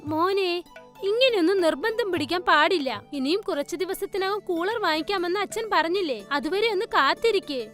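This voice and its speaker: A woman voicing the mothers and girls in a cartoon story, speaking in a clear, coaxing way. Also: very high voice, lively intonation, moderate pace, fairly bright tone, soft background music. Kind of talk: cartoon voice acting.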